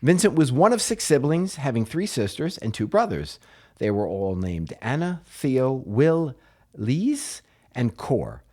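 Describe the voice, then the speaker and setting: A man's voice: medium pitch, big pitch swings, measured pace, dark tone. An American man co-hosting a comedy podcast, telling a history story in a playful, hammy way with jokey asides. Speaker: podcast co-host presenting a story.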